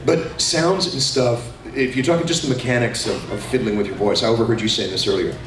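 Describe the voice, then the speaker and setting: A middle-aged man, a voice actor on a convention panel, talking in an animated, jokey way and slipping into character voices. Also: mid-range voice, brisk. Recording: echoey hall, far from mic, noisy.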